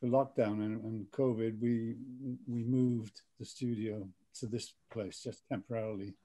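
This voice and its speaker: A man's voice: on the low side, steady tone, a little slow, mellow. A man chatting casually as a filmmaker guest on an online video call. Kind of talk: casual chat.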